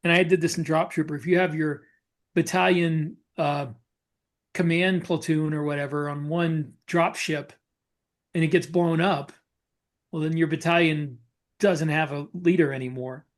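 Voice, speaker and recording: Higher-voiced man explaining casually. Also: fairly even intonation, normal speed. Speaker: podcast co-host and novelist.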